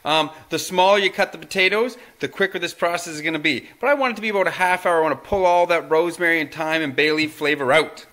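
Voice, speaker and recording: A man's voice: on the high side, fast, hardly any pauses, bright, forceful tone. A man hosting a home cooking video in a kitchen, chatting through each step and talking to his toddler son, recorded from a camera some distance away. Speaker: home cooking show host. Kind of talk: casual cooking demonstration. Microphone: camera mic at a distance. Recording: very echoey kitchen with faint noise.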